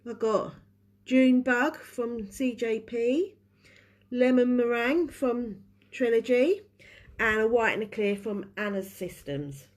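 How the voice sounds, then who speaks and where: Woman with a head cold, nail tutor, chatty live demo, slightly high voice. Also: slow. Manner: chatty, restarts while working. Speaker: nail technician teaching a live class.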